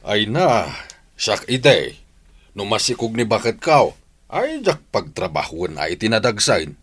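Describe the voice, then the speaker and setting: A man's voice: on the low side, very animated, measured pace, a bit bright, breathy edge, heavily acted. A man playing a concerned, fussing village uncle in a radio drama, chiding and cautioning as he talks. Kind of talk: Ilocano radio drama acting.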